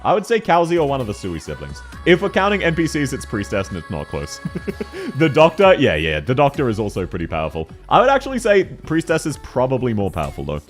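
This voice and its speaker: A young man with a deep voice chats through a game stream, answering viewers in an excitable, slangy way and laughing often. His pitch swings widely from line to line. Faint music plays underneath. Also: fast talker. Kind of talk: casual live-stream chat, answering viewer questions.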